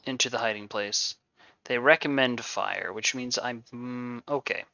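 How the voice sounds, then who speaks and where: A man's voice: mid-range voice, measured pace, dull audio. A man streaming a video game with casual, wisecracking commentary full of playful bravado. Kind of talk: casual live gameplay commentary. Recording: soft game music behind.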